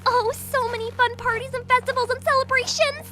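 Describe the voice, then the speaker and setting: A woman voicing a bubbly, hyperactive pink female party pony in a fan audio drama, chattering excitedly. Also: very high voice, a little fast, over loud music.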